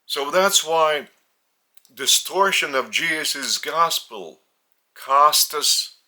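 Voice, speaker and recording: A man with a Lithuanian accent, a spiritual teacher speaking in short halting phrases with restarts. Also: on the high side, lively intonation, sharp tone.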